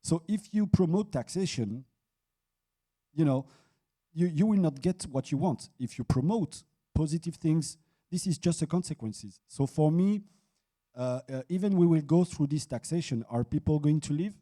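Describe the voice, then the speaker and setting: Guy on a business panel, halting, lots of uhs and restarts, dark mellow voice. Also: on the high side, expressive. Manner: halting, frequent restarts and fillers. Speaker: panelist, site leader. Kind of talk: conference panel discussion. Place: conference hall.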